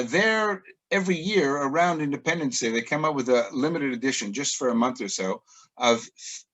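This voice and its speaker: A man's voice: medium pitch, a bit bright, poor audio. A middle-aged man guiding a virtual tour, telling chatty stories with frequent ums as he works through his slides. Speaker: tour guide.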